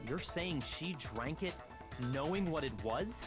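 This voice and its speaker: A proud, rattled young man in an anime game acted out by a performer, haughty and indignant. The delivery is very animated, argumentative and clipped, over loud music. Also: mid-range voice, measured pace, natural voice. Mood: rattled, demanding.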